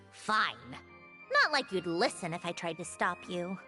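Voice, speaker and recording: A woman's voice: lowish voice, big pitch swings, slow pace, soft background music, exaggerated voice. An actress voicing a proud, prickly female automaton scholar who speaks in a curt, dry manner. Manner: curt and dry, softening to sincere. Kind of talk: game voice acting.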